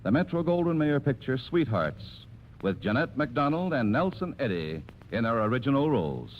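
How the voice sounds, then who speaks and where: Animated male 1940s radio narrator. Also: mid-range voice, lo-fi sound, background noise. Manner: dramatic, deliberate storytelling. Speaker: announcer and narrator. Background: music.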